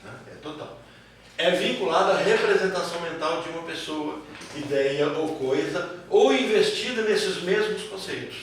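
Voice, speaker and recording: Brazilian male teacher, fairly high voice, informal didactic lecture, repetitive phrasing, distant echoey recording. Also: normal speed. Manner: didactic, repeats phrases and checks understanding with tag questions. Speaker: teacher of a psychoanalysis class. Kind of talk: informal lecture with questions from students. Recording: echoey room, far mic, clear background noise.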